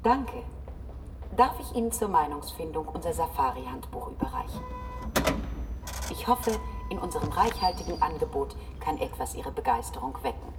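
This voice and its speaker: An actress playing a polite, unyielding travel service machine in a German science-fiction radio drama, formal and formulaic. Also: female voice, low-pitched, big pitch swings, normal speed, distant mic, background noise. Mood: courteous, formulaic. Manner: formal, formulaic, repeats set phrases.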